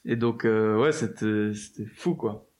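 A man's voice: fairly low pitch, a little slow, a little mellow. A man, chatting casually as an interview guest, loose and slangy with lots of fillers and restarts. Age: 20s. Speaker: guest musician.

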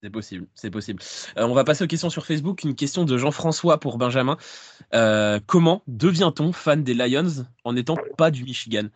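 Teasing podcast host. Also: male voice, mid-range voice, a little fast, dry recording. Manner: relaxed, teasing, reads out listener questions. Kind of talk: casual podcast chat.

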